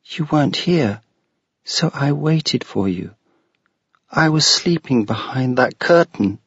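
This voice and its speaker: Man putting on a high, tearful little ghost girl, begging, storybook reading, dull lo-fi sound. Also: lively intonation, slow pace, a bit bright, breathy edge, no room sound, full character voice. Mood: plaintive. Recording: dull lo-fi recording.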